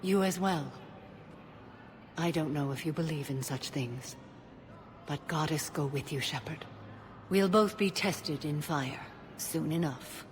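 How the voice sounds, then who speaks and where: An actress playing a solemn, disciplined alien warrior woman in a video game, speaking in a measured, formal, grave way. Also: sits low. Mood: reflective. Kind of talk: video game cutscene acting. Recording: clearly noisy game audio with background ambience.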